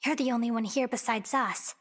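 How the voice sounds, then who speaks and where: Husky, serious young game heroine woman acting. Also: on the high side, fairly quick, close to an everyday voice. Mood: grave, suspicious.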